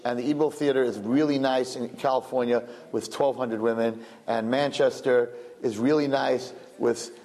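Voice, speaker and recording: Brooklyn-accented male host, chatty and rambling, self-joking, mid-pitched voice. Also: fairly even intonation, normal speed, hardly any pauses, a little mellow, poor audio. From Brooklyn, USA. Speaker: event host. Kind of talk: live-streamed announcements.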